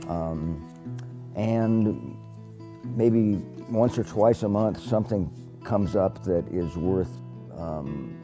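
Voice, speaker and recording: A man in his seventies, a poet, telling stories in a reflective, unhurried way in a documentary interview. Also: low-pitched. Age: 70s. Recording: music playing under the voice. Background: guitar music.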